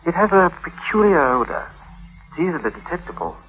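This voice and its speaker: A slightly dull old radio recording. a young tutor who schemes as he talks, smooth and insinuating, choosing his words deliberately in period drama style. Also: on the high side, big pitch swings. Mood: furtive, probing. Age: young adult. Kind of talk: 1950s radio drama acting.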